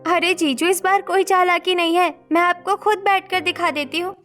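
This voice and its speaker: Woman acting a very high-pitched mischievous young girl. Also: steady tone, moderate pace, fairly bright tone, faint music behind. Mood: teasing. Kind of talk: Hindi cartoon story acting.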